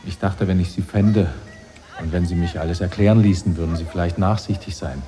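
An actor playing an anxious, dutiful husband in a radio drama, speaking earnestly and with urgency. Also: male voice, deep voice, steady tone, a little fast, mellow, faint music behind, lightly acted. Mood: apologetic, pleading. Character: anxious, dutiful husband pleading for his wife.

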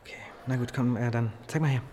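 A man's voice: medium pitch, fairly even intonation, fast, close to an everyday voice. A man playing a jittery, grumpy, down-on-his-luck hacker in a radio drama, hesitant and stammering, in a noisy scene. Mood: hesitant, grumbling. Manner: hesitant, stammering, repeats words, blunt swearing. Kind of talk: German radio drama acting.

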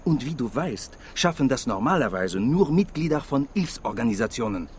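An actor playing an abrasive, distrustful French journalist from Paris in a radio drama, brusque and sardonic. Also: male voice, mid-range voice, sing-song, normal speed, natural voice. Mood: scornful, insistent.

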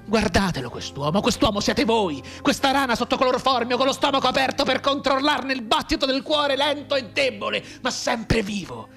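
Un attore che interpreta in un radiodramma un giovane reduce di guerra mutilato, amaro e sardonico, con tono declamatorio e appassionato. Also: male voice, extremely high pitch, animated, moderate pace, gravelly, hardly any pauses, bright, forceful tone, heavily acted. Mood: grim, insistent. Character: bitter, maimed young war veteran, anguished and sardonic. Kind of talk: dramatic radio theatre monologue. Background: music under the voice.

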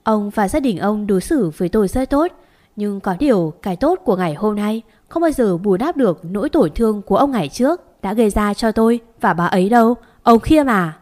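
Cool, defiant young driver, steady and unflinching, woman narrator. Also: medium pitch, brisk, natural voice. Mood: calm. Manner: calm, steady. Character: calm defiant male chauffeur, younger man.

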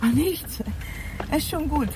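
A fragile, distracted wife in a crime radio drama. Her fairly high voice moves slowly and haltingly, with clear ambient noise around it. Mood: distracted, hesitant. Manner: halting, broken-off sentences. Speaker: actress. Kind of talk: intimate German crime radio play acting.

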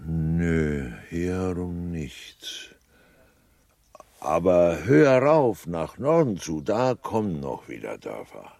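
An actor playing an obliging, deferential seaside local in a literary radio play, talking in a leisurely, folksy way. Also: male voice, deep voice, very animated, slow pace, vocal fry, a little mellow, no echo, clean studio sound, exaggerated voice. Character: obliging male seaside local advising a lady on lodgings.

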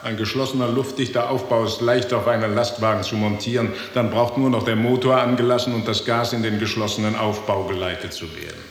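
An actor playing a cold, matter-of-fact technical official, businesslike, in a 1960s German radio drama. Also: male voice, on the low side, steady tone, a little fast, few pauses, reverberant, in a large hall, natural voice. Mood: clinical pride. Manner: businesslike, clinical.

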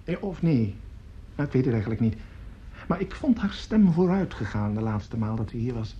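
An actor playing an excitable, coarse opera conductor in a radio play, effusive and grumbling, stammering and swearing as he talks. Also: mid-range voice, moderate pace, natural voice. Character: excitable, coarse, opinionated male opera conductor at his piano. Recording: dull old radio recording, piano music.

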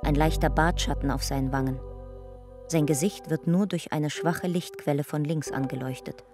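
A narrator in a radio play soberly describes photographs in measured, matter-of-fact fragments. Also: medium pitch, fairly even intonation, close to an everyday voice. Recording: music behind the voice. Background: music.

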